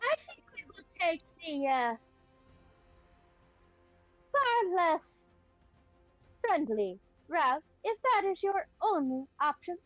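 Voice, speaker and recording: A woman's voice: very high-pitched, sing-song, slow, pauses often, fairly bright tone, no echo. A woman chatting casually as a tabletop game player, thinking aloud hesitantly, on slightly dull call audio. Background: background music.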